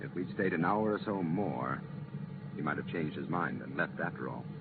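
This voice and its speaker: Firm space commission official, deep voice, widely swinging intonation, regretful, deliberate, old radio drama. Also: male voice, expressive. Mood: measured. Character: earnest commission official, regretful but firm older man. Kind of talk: 1950s radio drama acting. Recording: muffled, noisy 1950s radio transcription.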